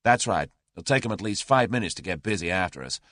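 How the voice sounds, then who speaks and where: A man voicing a brash, confident young American man with a brisk, folksy drawl. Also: sits low, lively intonation, fairly bright tone, no room sound, studio quality. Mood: brisk, commanding.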